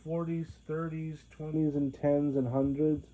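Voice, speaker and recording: A man in his twenties rambling to his viewers in a monologue, halting often with restarts and fillers. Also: on the high side, little pitch movement, mellow. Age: 20s. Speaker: vlogger. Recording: phone-like narrow sound.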